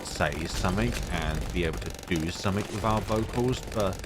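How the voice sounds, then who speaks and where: A man with a deep voice giving casual, rambling commentary on his own gameplay, full of fillers. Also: normal speed, very noisy. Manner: rambling, chatty, many fillers. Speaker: gaming YouTuber. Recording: music playing behind voice.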